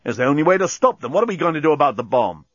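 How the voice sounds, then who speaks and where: A man's voice: on the low side, big pitch swings, a little fast, no echo, muffled recording. A man voicing a short-tempered, impatient man in a storybook, blunt and sarcastic. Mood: irritated.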